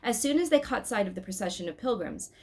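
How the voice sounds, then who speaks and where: A woman's voice: mid-range voice, fast. A woman reading a story aloud expressively, in an informal way, with little asides to her listeners. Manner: animated, addresses listeners with asides.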